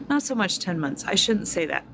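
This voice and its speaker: A woman in her forties speaking slowly and reflectively to her viewers, pausing often with fillers. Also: fairly low pitch, sing-song, creaky, noisy background. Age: 40s. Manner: slow, reflective, many fillers. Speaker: spiritual vlogger. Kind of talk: personal testimony to viewers.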